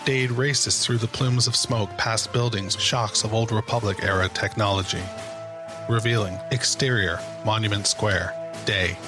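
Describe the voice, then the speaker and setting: A man's voice: lowish voice, fairly even intonation, measured pace. A man giving scripted dramatic narration as the narrator of an audio drama. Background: music.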